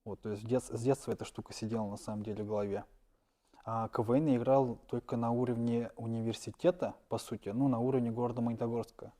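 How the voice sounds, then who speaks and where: A young man, a stand-up comedian, answering interview questions casually and letting his phrases trail off quietly. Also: mid-range voice, flat delivery, quick pace.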